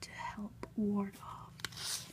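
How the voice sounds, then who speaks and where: A woman reads a storybook in a hushed ASMR whisper. She takes her time at a slow pace, letting phrases linger with soft, deliberate pauses between them. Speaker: ASMR reader, channel host.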